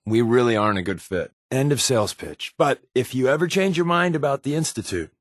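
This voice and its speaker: A man's voice: medium pitch, expressive, a little fast, dry recording, studio quality, natural voice. A man playing a wry, sarcastic undercover resistance agent and game companion, with an American accent and glib, casual patter. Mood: persuasive, wry. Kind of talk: video game companion dialogue.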